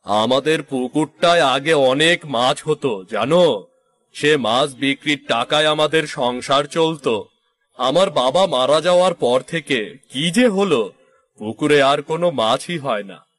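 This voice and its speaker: Slow-talking weary woodcutter father played by a man. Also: fairly high pitch, a bit bright, dry recording. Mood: resigned, tender. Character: poor, weary woodcutter father, gentle but proud. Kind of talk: Bengali moral-story cartoon voice acting.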